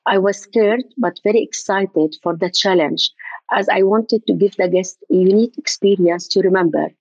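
An older woman from Jordan talks through an interview haltingly, pausing to find her words. Her voice sits at a middle pitch. It comes over a dull, muffled line in a dry, close sound. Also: a little mellow, breathy edge, dry recording. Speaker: event designer, guest. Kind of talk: interview conversation.

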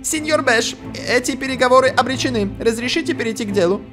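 A man's voice: very high-pitched, fast talker, voice pushed up. A man voicing a pompous mustached colonial officer with a mock French accent, in a raised, stagey voice. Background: game music.

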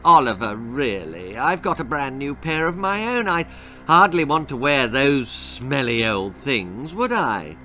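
A man's voice: fairly high pitch, sing-song, measured pace, sharp tone, exaggerated voice, rough recording. A man voicing a sly, spiteful schoolboy rival who fakes charm, over faint music in a storybook reading. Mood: mocking. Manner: silky, mocking charm.

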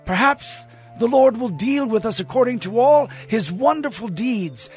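A woman's voice: a little high, animated, measured pace, darkish tone, soft background music. A woman playing an anxious royal envoy, earnest and pleading, in a dramatized scripture reading.

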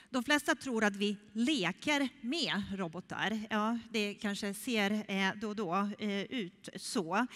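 A woman's voice: medium pitch, a bit bright. Middle-aged woman prof talking robots on stage, lots of ehs, hall echo. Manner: unscripted, many "eh" pauses. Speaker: robotics professor, keynote speaker. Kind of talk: keynote talk. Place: large conference stage. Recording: echoey hall.

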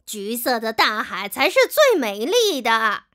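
Slow, insistent granny shark, Taiwanese Mandarin. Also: female voice, a little high, big pitch swings, fairly bright tone. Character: firm old orange grandmother shark. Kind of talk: children's cartoon dialogue. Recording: music behind.

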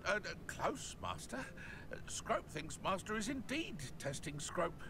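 Fields: Figure: stammering house-elf servant. Pitch: high. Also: male voice, sing-song, a little slow, noisy background. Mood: servile. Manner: stammering, refers to himself in third person. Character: timid servile male house-elf servant.

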